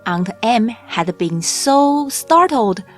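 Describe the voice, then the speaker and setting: Slow-paced woman narrating a children's storybook. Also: on the high side, very animated. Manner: measured, deliberate read-aloud delivery. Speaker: audiobook narrator. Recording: music bed under the voice. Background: background music.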